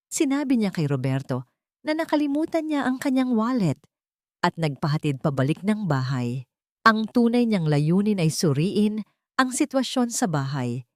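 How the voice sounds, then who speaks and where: A woman's voice: fairly low pitch, a little mellow, dry recording, clean studio sound. A woman storyteller reading a scripted dramatic story.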